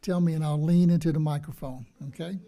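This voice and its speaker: Soft-spoken man chairing a meeting. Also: on the high side, steady tone, brisk. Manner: soft-spoken, formal procedural phrasing. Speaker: board chairman. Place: county meeting hall. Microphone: desk microphone.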